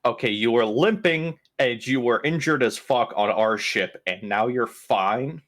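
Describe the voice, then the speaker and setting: A man's voice: medium pitch, a little slow, fairly bright tone. A man taking part in a tabletop game, chatting casually in a blunt, jokey way. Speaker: roleplaying game player. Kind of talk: casual chat.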